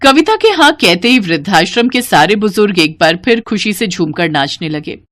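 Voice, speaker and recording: Woman narrating a story at medium pitch. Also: very animated, normal speed, a bit bright. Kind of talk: scripted cartoon story narration.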